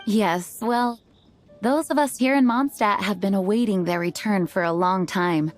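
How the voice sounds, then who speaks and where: An actress playing an earnest, modest young knight commander in an anime game, speaking formally and politely. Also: female voice, mid-range voice, unhurried, close to an everyday voice. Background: background music.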